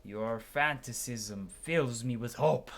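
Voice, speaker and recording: A man's voice: medium pitch, takes it slowly, gravelly, a bit bright. A man voicing a fervent, pious male zealot from a video game, declaiming grandly like a preacher. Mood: fervent, preaching. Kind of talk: video game dialogue read aloud by a player.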